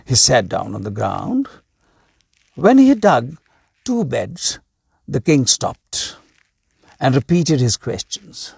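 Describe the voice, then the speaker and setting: Male storyteller. Raspy, slow delivery with deliberate pauses, reading a scripted tale aloud. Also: mid-range voice, sing-song, darkish tone, dry recording, clean studio sound. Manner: deliberate, with pauses between short phrases.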